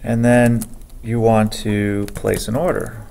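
Low, gravelly man dictating code slowly. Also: level tone, dark tone, slight background noise. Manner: slow, halting, dictates code aloud with many pauses. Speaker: programming teacher. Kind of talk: screen-recorded coding tutorial.

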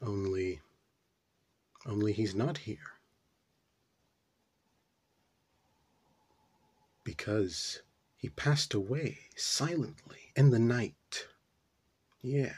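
A man playing a puzzled, brooding character in a theatrical stage monologue, pondering haltingly with deliberate pauses. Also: lowish voice, a little mellow, breathy edge. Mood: wistful.

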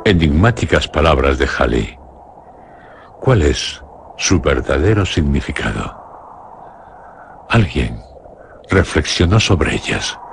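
A man narrating a scripted radio horror drama in a solemn, ominous, measured manner. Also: extremely low pitch, vocal fry, noisy background.

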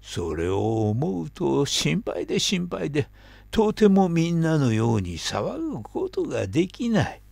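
Give me a worried old earthworm, grave and slow, with a lively rise and fall, as an elderly man reads him. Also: fairly high pitch, creaky, no echo, heavily acted. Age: 70s. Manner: gloomy, grave, lecturing.

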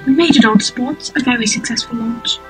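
A woman's voice: fairly high pitch, fairly quick, a bit bright, soft background music, natural voice, low-quality recording. A woman voicing a cheery, eccentric male inventor creature in an amateur cartoon dub, speaking in a genteel, airy, old-chap manner. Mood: calling out.

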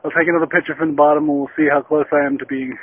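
A man's voice: on the high side, flat delivery, racing. A middle-aged man filming himself on an open hillside with a phone held at arm's length, chatty and self-deprecating about his hike. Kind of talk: casual self-filmed vlog. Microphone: phone camera at arm's length. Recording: tinny lo-fi phone recording.

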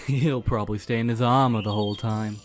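A man's voice: fairly low pitch, fairly even intonation, normal speed, soft background music, close to an everyday voice. A man playing a cheeky, easygoing young knight lieutenant in a fantasy audio drama, casual and teasing. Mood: casual, wry.

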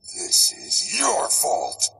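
An actor playing a bitter, menacing scarred ex-gangster in a breathing mask in a video game, with a deep, gravelly voice. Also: male voice, huge pitch swings, very slow, pushed and bright, far from the mic, exaggerated voice. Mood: angry. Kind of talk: video game cutscene acting. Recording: music under the voice.

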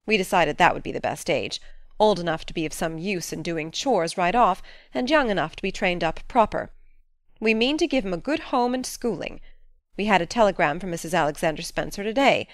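A woman's voice: mid-range voice, no echo, clean studio sound, close to an everyday voice. A woman playing a stern, practical middle-aged farm woman in a dramatic audiobook, talking in a plain, folksy, matter-of-fact way. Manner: plain-spoken, matter-of-fact, folksy old-fashioned phrasing.

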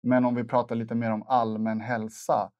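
Measured Swedish man on a dry studio mic. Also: medium pitch, level tone, darkish tone, no echo, muffled recording. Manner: measured, thoughtful, with fillers. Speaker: host, trainer. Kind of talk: casual chat. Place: dark studio set, seated at a table. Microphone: lapel.

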